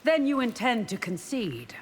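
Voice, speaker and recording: A woman's voice: a little high, sing-song, measured pace, husky, long reverb tail, heavily acted. A woman playing a stern, proud royal guard warrior in a fighting game, formal and scornful. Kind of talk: video game fight banter.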